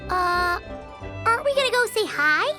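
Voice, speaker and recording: Very high-pitched fairy sidekick, bouncy lively voice, excitable, game music behind. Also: female voice, normal speed. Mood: curious. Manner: excitable, talks about herself in third person. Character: tiny chirpy female floating fairy companion. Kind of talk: video game voice acting.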